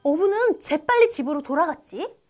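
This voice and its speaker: Very high-pitched woman storyteller. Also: lively intonation, moderate pace, over music. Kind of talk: scripted children's story narration.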